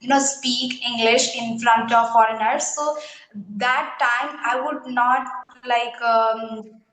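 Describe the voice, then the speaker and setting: A woman's voice: a little high, fairly even intonation, slow, a bit bright, roomy sound, low-quality recording. A woman with an Indian accent, speaking hesitantly as an English learner in a casual conversation, with many fillers and restarts. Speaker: English learner being interviewed.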